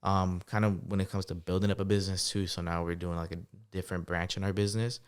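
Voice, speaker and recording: Twenties male guest, low and quick, loose chatty delivery. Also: clean tone. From United States, Salvadoran family. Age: 20s. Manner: relaxed storytelling with many fillers. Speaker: guest entrepreneur. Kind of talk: casual podcast interview.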